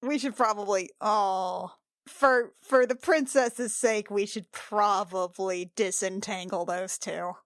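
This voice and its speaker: A woman's voice: mid-range voice, slow pace, no room sound. A woman playing a tabletop game, chatting casually and improvising role-play.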